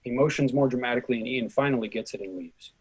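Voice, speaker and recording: Casting: male stage directions reader, husky medium-pitched voice, scripted narration for a play. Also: fast talker, poor audio.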